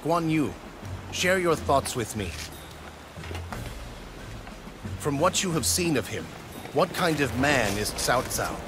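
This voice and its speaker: A man plays an idealistic, gracious young lord in a game cutscene. His medium-pitched voice is formal and sincere, with music clearly playing behind it. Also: lively intonation, a little slow, close to an everyday voice. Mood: troubled. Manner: formal, earnest. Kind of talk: video game cutscene acting.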